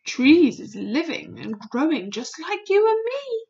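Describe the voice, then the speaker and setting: A woman voicing a gentle, proud, excitable giant in a storybook, with quaint, ungrammatical speech and muddled invented words. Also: high voice, measured pace, dull audio, voice pushed up, full character voice. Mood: solemn, awed. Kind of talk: storybook character voice.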